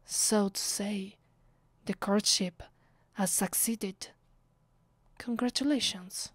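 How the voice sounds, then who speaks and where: Woman acting a soft, slow, Italian-accented vampire noblewoman. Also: mid-range voice, fairly even intonation, no room sound. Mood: haughty, possessive. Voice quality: soft, breathy, often dropping to a whisper. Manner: slow, dramatic, imperious. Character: aristocratic female vampire, centuries old, bitter and possessive. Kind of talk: ASMR roleplay, intimate scripted acting.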